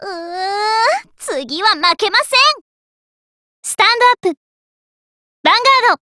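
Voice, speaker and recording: A woman's voice: very high voice, lively intonation, a little slow, sharp tone, dry recording, clean studio sound, full character voice. A woman voicing a cheerful, earnest teenage girl magician in an anime card game, sweet and eager. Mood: determined. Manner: sweet, eager, emphatic called-out phrases.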